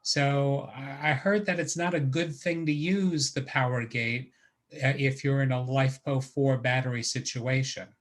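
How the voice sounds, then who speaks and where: A man's voice: medium pitch, fairly even intonation, a little slow. A man giving an informal technical explanation on an online video call. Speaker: workshop participant.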